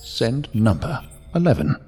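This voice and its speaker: An actor playing a cold, machine-like leader in an audio drama, speaking in a formal, precise, clinical manner. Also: male voice, mid-range voice, very animated, a little slow, a little mellow, soft background music. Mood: commanding. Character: cold, imperious, machine-like leader of numbered entities.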